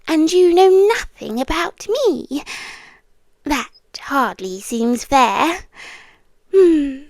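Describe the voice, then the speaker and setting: A British woman playing a lonely, possessive demon girlfriend in an intimate ASMR roleplay, breathy and coaxing, often slipping into whispers with lingering pauses. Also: high-pitched, no echo, heavily acted. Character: lonely, possessive yandere demon girlfriend, once human, soft-spoken. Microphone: close ASMR microphone, dry.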